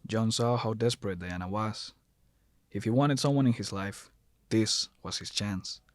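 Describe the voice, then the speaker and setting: A man's voice: on the low side, normal speed, no echo, clean studio sound. A man reading scripted narration for an audio drama.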